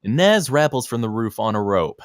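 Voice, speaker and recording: A man reads screenplay action lines with expressive, swinging intonation. The delivery is dramatic and punchy, in a close, dry sound. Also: mid-range voice, normal speed, no room sound. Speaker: stage-direction narrator. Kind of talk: scripted screenplay narration.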